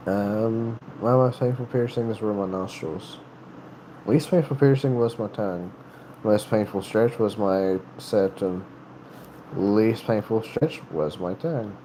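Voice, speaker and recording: A man's voice: fairly low pitch, mellow, a little hiss or hum, rough recording. A man from North Carolina with a Southern accent, chatting casually on a livestream, rambling and restarting his sentences. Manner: rambling, halting, many restarts. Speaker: livestream host.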